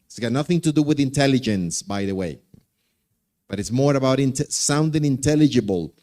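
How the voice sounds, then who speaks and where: A man's voice: medium pitch, lively intonation, normal speed, dark tone. A man with a Colombian accent, a native Spanish speaker, replying to audience comments at a conference and repeating key words for emphasis. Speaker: conference presenter.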